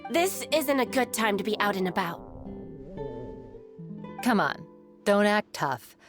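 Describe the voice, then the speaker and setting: A kindly, slightly gruff guard woman in a game. She speaks slowly at a medium pitch over faint music. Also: lively intonation. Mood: coaxing. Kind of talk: video game voice acting.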